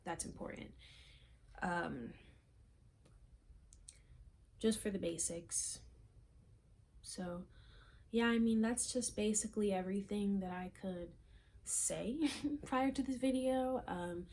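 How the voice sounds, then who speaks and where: A young woman from South Florida chatting casually to camera as a fitness vlogger, rambling with frequent ums and soft trailing asides. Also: medium pitch, takes it slowly, pauses often. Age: young adult. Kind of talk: casual chat and workout instruction. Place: small room, plain wall, indoors.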